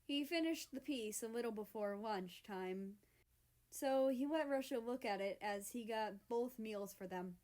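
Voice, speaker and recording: Slow-reading young woman narrator. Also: on the high side, darkish tone. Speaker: fanfiction reader. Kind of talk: reading a story aloud.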